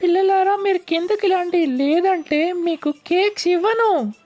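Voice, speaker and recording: Little cartoon piggy girl, super high voice, bossy and playful, faint music behind. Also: unhurried, a little mellow. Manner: childlike, bossy, pleading. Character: young girl pig, bossy, playful, easily scared. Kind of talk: children's cartoon dub.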